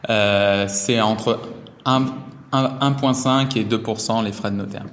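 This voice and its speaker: A businesslike man who works as a property investment guide answers questions in a hesitant way, full of fillers. His voice sits somewhat low. The recording sounds roomy, with a faint noise behind it. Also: fairly even intonation, unhurried, a bit bright. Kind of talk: casual interview.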